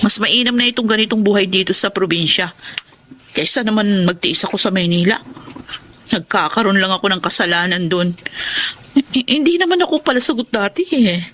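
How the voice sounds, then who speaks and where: A woman's voice: mid-range voice, fairly quick, a bit bright, slight background noise, natural voice. An actress playing a fed-up, outspoken young wife and mother in a Tagalog radio drama, emphatic and argumentative, with a Filipino accent. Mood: weary, reflective.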